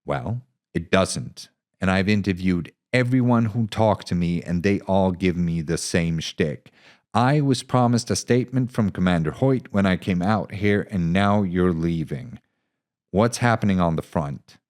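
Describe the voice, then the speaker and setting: A man's voice: low-pitched, unhurried, a little mellow, pitched above the performer's own voice. A man voicing a frustrated, idealistic war journalist in an audio story, speaking earnestly and insistently. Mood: frustrated, challenging.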